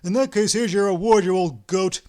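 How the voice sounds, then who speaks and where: A man voicing a brash, scheming male con man who barks orders loudly. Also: high voice, lively intonation, fast talker, voice pushed up. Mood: angry. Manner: loud, bossy, sharp.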